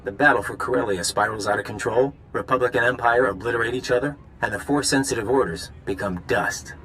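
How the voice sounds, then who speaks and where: A man playing a suave, idealistic conspirator villain in a video game, smooth and theatrical in his talk. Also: medium pitch, fast, a bit bright, a little hiss or hum, natural voice, rough recording. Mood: fervent. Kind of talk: video game dramatic acting.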